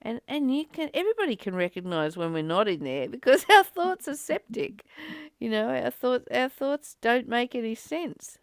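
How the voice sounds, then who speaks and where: Clear-voiced woman in spiritual discussion. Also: on the high side, sing-song, normal speed, no room sound, studio quality. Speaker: psychic healer leading a spiritual book study. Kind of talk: casual spiritual discussion with some read-aloud passages.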